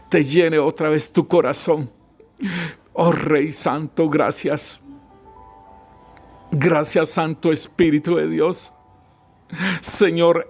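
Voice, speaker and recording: Fervent praying man, raised pitch. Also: darkish tone. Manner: fervent, constantly repeating "Señor" and "Rey Santo" between phrases. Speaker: prayer leader. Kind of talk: spoken congregational prayer.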